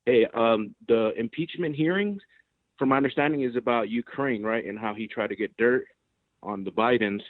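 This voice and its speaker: A man's voice: medium pitch, normal speed, no room sound. Direct male caller on a phone line. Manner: direct, asks short questions. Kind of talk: phone call to a live show.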